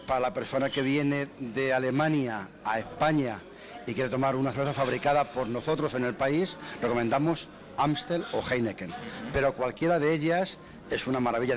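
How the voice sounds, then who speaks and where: A man's voice: medium pitch, normal speed. A man interviewed as a business owner, answering with enthusiastic, salesy praise. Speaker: bar owner guest.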